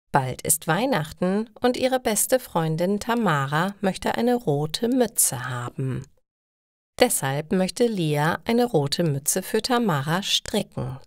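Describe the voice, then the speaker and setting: A woman reading simple stories for language learners as a narrator, in a slow, careful storytelling style. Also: on the low side, dry recording, clean studio sound.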